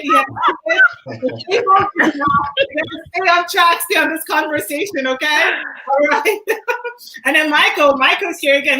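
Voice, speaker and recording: A woman's voice: high voice, pushed and bright. A woman hosting a live online discussion, upbeat, reading viewer comments aloud. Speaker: host. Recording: echoey room.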